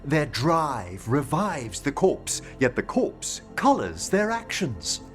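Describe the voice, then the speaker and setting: An actor playing a gentle, earnest scholar of necromancy in a video game, speaking formally and precisely. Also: male voice, a little high, huge pitch swings, slow, faint music behind, heavily acted. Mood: philosophical. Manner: formal, precise, eloquent speech. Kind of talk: video game character acting.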